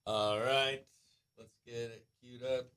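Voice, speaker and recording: A man's voice: mid-range voice, steady tone, slow, fairly bright tone, clear, resonant tone. A man hosting a country radio morning show, presenting live and chatting in a hyped, showy, playful announcer's manner.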